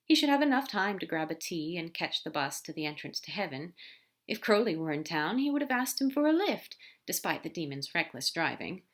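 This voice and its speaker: A woman narrates a lighthearted story aloud in a slightly low voice. She reads with lively emphasis and drops into soft whispers for asides. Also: fairly quick. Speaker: podfic reader. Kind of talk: narrating fan fiction aloud.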